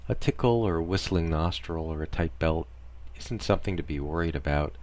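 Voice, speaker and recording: A man narrating a scripted parody guided meditation in a deadpan, soothing instructional manner. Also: low voice, brisk.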